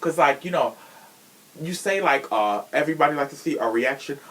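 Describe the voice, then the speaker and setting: Sassy man with lively, swooping pitch. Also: a little fast, rough recording. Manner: sassy, theatrical delivery. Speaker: podcast host. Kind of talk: casual chatty podcast talk.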